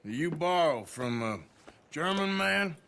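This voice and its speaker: A man playing a tough, sardonic gunslinger in a video game, with a gruff manner. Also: on the high side, animated, slow, background noise, heavily acted. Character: tough, surly American outlaw gunslinger, sardonic and menacing. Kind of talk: cinematic video game acting.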